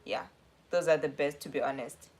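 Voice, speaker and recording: A woman's voice: sits low, normal speed, a little mellow, breathy edge. A young woman with a South African accent, chatting casually on a livestream and answering viewer questions in a rambling, self-correcting way. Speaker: livestream host.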